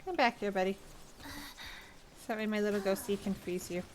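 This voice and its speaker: A woman's voice: mid-range voice, normal speed, background noise. A woman game streamer giving casual, playful commentary, talking to the characters as she plays.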